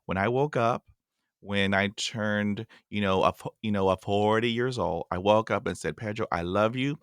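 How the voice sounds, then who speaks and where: A man's voice: on the low side, moderate pace, clean tone, no echo, studio quality. A man in his forties hosting a podcast interview, talking earnestly with a stutter and repeating himself for emphasis. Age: 40s. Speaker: podcast host.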